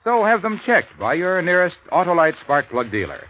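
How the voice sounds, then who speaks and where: Eager radio ad salesman, punchy, animated, hearty, 1950s style, tinny recording with faint noise. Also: sits high, moderate pace. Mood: hearty selling. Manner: punchy, enthusiastic. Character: hearty, eager radio pitchman for car parts. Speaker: commercial pitchman.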